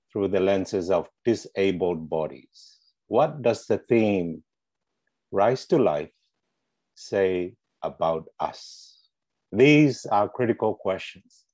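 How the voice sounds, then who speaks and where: A man's voice: fairly low pitch, a little mellow, no room sound. A middle-aged man giving a scripted academic presentation over a headset on a video call. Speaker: panel presenter. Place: small room indoors, video call. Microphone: earphone headset.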